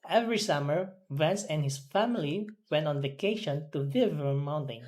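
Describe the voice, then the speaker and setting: A woman learning English, reading drilled words and sentences aloud in a careful, halting way. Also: low-pitched, expressive, a little mellow.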